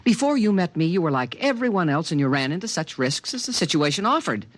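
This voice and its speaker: An actor playing a fussy, anxiously protective alien creature, earnest and pedantic, in a 1950s radio drama. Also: male voice, fairly high pitch, very animated, fast, no room sound. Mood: earnest explaining. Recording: vintage 1950s radio, music underneath.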